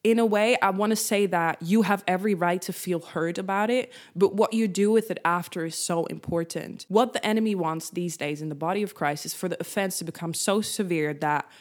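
A woman's voice: fairly low pitch, brisk, hardly any pauses. A young woman from the Netherlands, Dutch as her first language, speaking English with a Dutch accent. She gives a devotional talk straight to her viewers and acts out her own reactions in a chatty way. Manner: conversational, addresses viewers directly.

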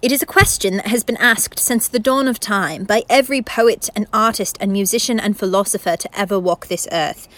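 Radio play narrator woman: literary, measured, philosophical, mid-pitched, faintly lecturing delivery. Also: fairly bright tone, close to an everyday voice, poor audio. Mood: formal, reflective.

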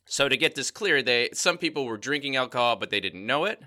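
A man's voice: medium pitch, fairly quick. A man co-hosting a casual science podcast, explaining a study with frequent laughs and "right?" asides. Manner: lively explainer, laughs often, says "right?". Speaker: podcast co-host.

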